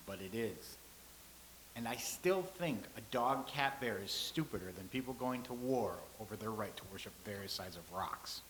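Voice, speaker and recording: A man reading the part of a calm, philosophical old-time scribe, speaking in a measured, lecturing way. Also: mid-range voice, a little hiss or hum, poor audio. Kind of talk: staged reading.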